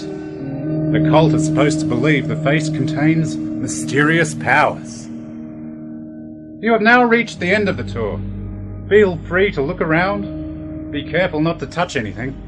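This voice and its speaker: A man performs a brisk museum tour guide in a sci-fi radio drama. His highish voice moves up and down as he instructs visitors. Loud guitar music plays behind him. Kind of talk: scripted tour commentary in amateur radio play.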